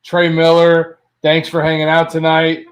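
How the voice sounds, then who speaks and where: A man hosts a relaxed, informal live stream. His voice is a little higher than most men's. He reads comments aloud and thanks viewers in a chatty way. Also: unhurried. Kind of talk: casual live chat, reading viewer comments.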